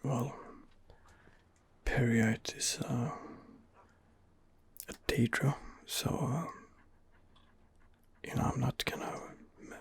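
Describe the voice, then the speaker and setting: Casting: male ASMR gamer, whispered and creaky, hesitant, musing delivery at close mic. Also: mid-range voice, steady tone, very slow, pauses often. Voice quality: whispered, breathy. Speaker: gamer narrating his role-play playthrough. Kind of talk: ASMR gaming commentary.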